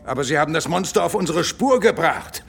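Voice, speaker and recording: An actor playing a scornful, sarcastic, irascible military general with a gravelly voice in an audio drama. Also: male voice, fairly high pitch, lively intonation, fairly quick, a bit bright.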